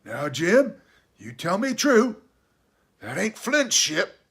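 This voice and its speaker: Storybook castaway: high, loud, hoarse, half-mad, pious yet sly, excitable seafaring talk. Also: male voice, unhurried. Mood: suspicious. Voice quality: hoarse, awkward. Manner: rustic seafaring dialect, excitable, rambling. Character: marooned old castaway sailor, half-crazed, childish, sly, pious.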